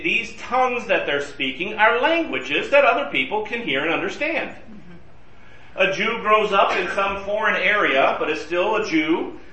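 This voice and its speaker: A man's voice: high-pitched, sharp tone. Man leading a roomy Bible class. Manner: conversational, asks the group questions. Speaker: Bible study leader. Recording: roomy and distant from the mic.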